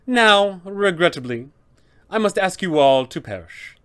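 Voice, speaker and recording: A man's voice: sits high, animated, measured pace, fairly bright tone, clear, resonant tone, pitched above the performer's own voice. A man voicing an officious, polite, fussy undead tomb custodian with formal announcer phrasing, as a tabletop villain. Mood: apologetic.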